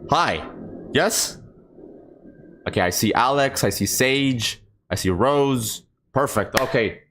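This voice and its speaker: A man's voice: medium pitch, takes it slowly, fairly bright tone, a little hiss or hum. A man hosting a casual, improvised livestream, talking in an informal, rambling and slightly scattered way. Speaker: live streamer and host.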